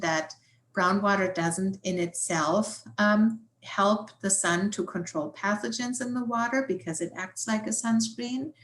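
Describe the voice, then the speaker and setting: A woman scientist with a German accent answers questions in an informal, explanatory way. Her pitch sits in the middle range for a woman. The recording is roomy and echoey. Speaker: guest environmental scientist and researcher.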